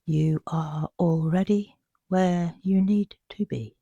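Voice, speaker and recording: A meditation guide with a soft, breathy voice, narrating a sleep meditation in a hushed, soothing, unhurried way with long pauses. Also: mid-range voice, fairly even intonation, dry recording, muffled recording.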